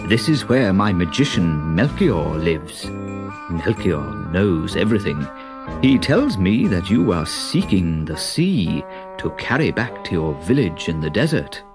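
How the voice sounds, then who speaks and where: A man's voice: low-pitched, very animated, a little slow, over music, heavily acted. A man voicing a grand, grateful, wounded desert king in a storybook, with emphatic, declaiming speech. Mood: solemn, generous.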